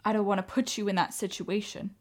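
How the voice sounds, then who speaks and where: A woman's voice: medium pitch, little pitch movement, quick pace, close to an everyday voice. A woman acting in a radio play as an anguished, defiant young Armenian mother, pleading with feeling. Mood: resolute, sorrowful. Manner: impassioned, pleading.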